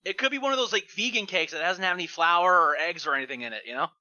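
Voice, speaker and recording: A man's voice: high voice, very fast, sharp tone, dry recording, dull audio. A man co-hosting a fan fiction show with casual, joking banter.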